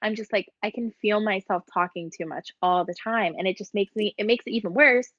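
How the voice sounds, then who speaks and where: A woman's voice: mid-range voice, brisk, fairly bright tone, dry recording. Young woman, podcast co-host, rambling casual chat, dry slightly muffled sound. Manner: chatty, rambling, heavy use of "like".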